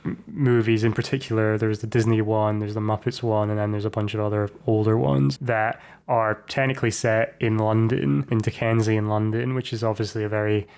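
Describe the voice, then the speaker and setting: A man's voice: fairly low pitch, flat delivery, fairly quick, vocal fry, few pauses, a little mellow, clear, resonant tone. A man co-hosting a podcast in a casual film discussion, given to joking asides. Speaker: podcast co-host.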